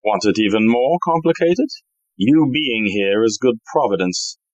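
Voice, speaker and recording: An actor playing a suave, scheming gentleman thief in an audio play, with an unruffled, smooth-talking manner. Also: on the low side, lively intonation, a little slow, no room sound, low-quality recording.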